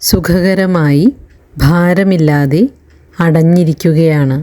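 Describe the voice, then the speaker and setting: A woman leading a guided meditation in a calm, soothing narration, leaving long pauses between phrases. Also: deep voice. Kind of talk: slow guided relaxation narration.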